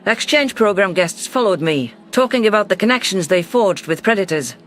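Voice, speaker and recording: High-pitched male sci-fi story narrator. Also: expressive, normal speed, fairly bright tone. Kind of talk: scripted first-person sci-fi narration.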